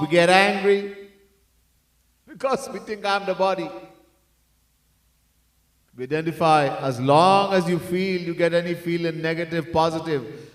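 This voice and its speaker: A man teaching as a swami in a devotional discourse, earnestly repeating his key points and at times chanting verses in song. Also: on the high side. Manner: breaks into sung chanting of verses. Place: temple hall. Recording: echoey room, mic set far off.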